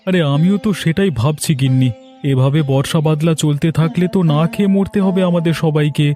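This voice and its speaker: A man plays a poor village fisherman and farmer in a cartoon tale. His voice sits a little high and has a soft, mellow tone. He sounds plaintive and worried, with faint music behind him. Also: a little fast, lightly acted. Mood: worried, practical. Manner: plaintive, lamenting. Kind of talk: cartoon story dialogue.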